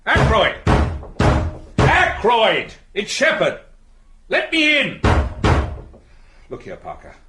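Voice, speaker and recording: An actor playing a level-headed, authoritative village doctor in a radio play, brisk and insistent. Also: male voice, high-pitched, wildly swooping pitch, very noisy, full character voice. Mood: commanding.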